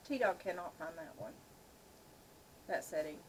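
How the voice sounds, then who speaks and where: A woman's voice: fairly low pitch, sing-song, unhurried, gravelly, slight background noise. A middle-aged woman chatting casually with a dry, joking manner. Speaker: video host. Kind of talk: casual chat.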